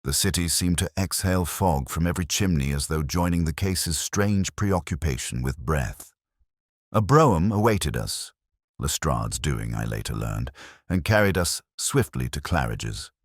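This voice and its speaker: Very deep-voiced man narrating a detective story. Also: lively intonation, unhurried, no room sound, clean studio sound. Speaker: narrator and audiobook reader.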